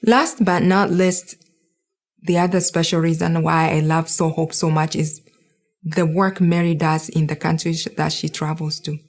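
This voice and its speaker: A woman's voice: low-pitched, steady tone, unhurried. A woman from Kenya, in her thirties, giving an earnest, heartfelt speech as a board member at a charity gala.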